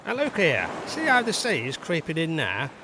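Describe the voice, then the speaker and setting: An actor playing a blunt, excitable, forward villager in an audio drama, speaking emphatically. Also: male voice, on the high side, normal speed, noisy background. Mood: warning, animated. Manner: animated, emphatic.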